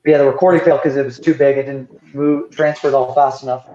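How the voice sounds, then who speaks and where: A man speaking as an engineer in a casual technical work call, thinking aloud in halting phrases as he explains details. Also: medium pitch, fairly even intonation, dark tone. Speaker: software engineer on a team call. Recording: roomy, dull lo-fi call audio.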